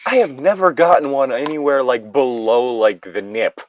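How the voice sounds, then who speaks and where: A man bantering casually and reading a story aloud haltingly, recorded on a slightly dull mic. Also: medium pitch, dry recording.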